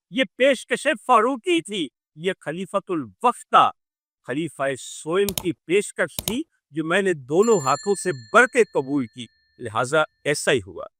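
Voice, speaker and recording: A man's voice: fairly high pitch, a bit bright, dry recording, clean studio sound. A man telling religious history as a dramatic orator, with emphatic phrasing, rhetorical questions and repeated phrases. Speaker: religious speaker presenting a talk. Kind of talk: dramatic Urdu religious storytelling sermon.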